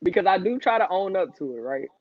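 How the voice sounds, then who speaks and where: A man's voice: sits high, animated, fast, no room sound. A man in his twenties, the guest of an interview, telling his life story in casual, profane street talk with frequent laughs. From Long Beach, California. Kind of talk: candid storytelling. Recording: dry, muffled lo-fi audio.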